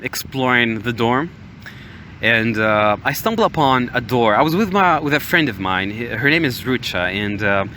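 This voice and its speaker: A young man from Morocco with an American accent, hosting a podcast and telling casual personal stories in a hesitant way. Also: medium pitch. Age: young adult. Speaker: podcast host. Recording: steady outdoor-like background noise, waves. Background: ocean waves ambience.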